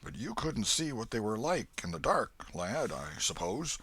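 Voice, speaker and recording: A kindly old father figure, acted by a male audiobook reader. He is hearty, folksy and emphatic, his pitch swinging widely. The sound is dry and close. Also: sing-song, normal speed, a bit bright, breathy edge, no room sound, heavily acted. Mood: animated storytelling. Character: hearty, kindly old Welshman, hospitable father of grown sons. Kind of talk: audiobook character voice.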